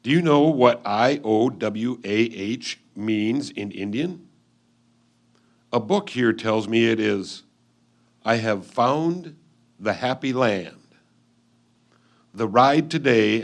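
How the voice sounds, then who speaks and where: Casting: man to play keen 1850s frontier husband, medium pitch, measured old-fashioned letter reading for the stage. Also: animated. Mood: impressed, keen. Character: 1850s husband newly arrived out West, keen and observant. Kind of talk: dramatic reading of an old letter, reader's theatre.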